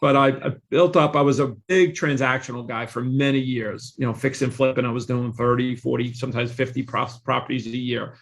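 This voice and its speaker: A man in his forties with a gravelly, fry-tinged voice, talking over a remote call. He tells his story with confident, emphatic repetition, like a salesman pitching the listener. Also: a bit bright. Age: 40s. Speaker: real estate investor and guest. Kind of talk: podcast interview storytelling.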